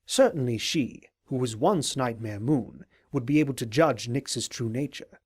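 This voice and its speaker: A man voicing a scheming, falsely sympathetic unicorn advisor in an audio drama, speaking in a formal, deliberate, courtly manner. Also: mid-range voice, animated, slightly rough. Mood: probing, courteous. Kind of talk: dramatized audio fiction reading.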